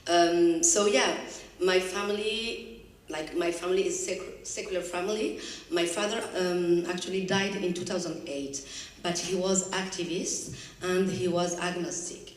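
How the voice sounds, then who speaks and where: A woman speaks slowly and haltingly and searches for her words. Her voice sits a little low. The room echoes around her, and she is some distance from the microphone. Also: fairly even intonation. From Morocco. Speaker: panelist, activist. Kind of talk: halting explanation.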